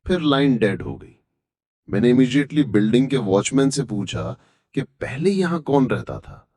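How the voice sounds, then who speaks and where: A man's voice: mid-range voice, animated, a little slow, darkish tone, no echo, studio quality. A man reading a scripted horror story as its narrator. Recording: background music. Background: music.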